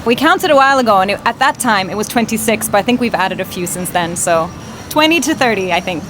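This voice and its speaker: Chatty woman over loud street noise. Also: mid-range voice, expressive, fast. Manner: chatty, laughs often. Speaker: traveling video creator. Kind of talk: casual interview answers. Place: city street outdoors. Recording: city street noise behind.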